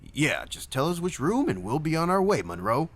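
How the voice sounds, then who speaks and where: A man's voice: a little high, big pitch swings, moderate pace, voice pushed up. A man voicing a brash, crude, easygoing young man with blunt, slangy talk in a narrated story. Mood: casual. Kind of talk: acted dialogue in a narrated story.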